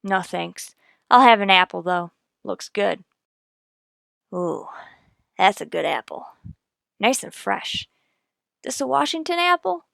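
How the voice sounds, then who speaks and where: A woman's voice: medium pitch, expressive, takes it slowly, gravelly, long pauses, a bit bright, no room sound, studio quality, heavily acted. A woman playing a coolly methodical police detective sergeant in a radio crime drama, clipped and matter-of-fact. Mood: casual, dry.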